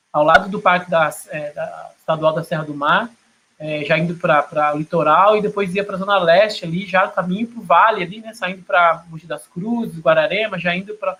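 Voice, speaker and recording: High, bright-voiced Brazilian man. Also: rough recording. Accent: São Paulo state Brazilian. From São Paulo state, Brazil. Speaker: photographer. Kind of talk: reflective talk about own work.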